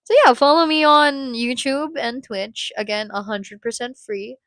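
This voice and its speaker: A woman's voice: on the high side, steady tone, measured pace, a bit bright, dry recording. A woman from the Philippines chatting casually on a live stream, rambling and cutting herself off, laughing often.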